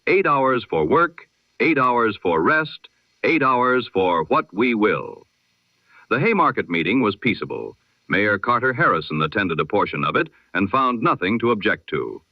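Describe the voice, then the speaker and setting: A man reading a history broadcast in the style of an old-time radio newsreader, measured, solemn and formal. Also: fairly low pitch, no echo, highs cut off.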